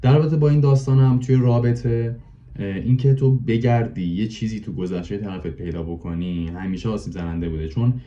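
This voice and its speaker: A man in his twenties chatting casually as a podcast guest, explaining in colloquial speech and repeating words for emphasis. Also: lowish voice. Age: 20s. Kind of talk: casual chat. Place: living room, indoors. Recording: dull, muffled video call audio.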